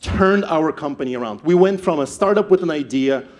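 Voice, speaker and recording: Young ukrainian guy telling his startup story on stage, kinda high voice, stumbles and repeats words. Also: brisk. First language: not English. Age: young adult. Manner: animated, repeats words and restarts. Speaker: startup founder speaker.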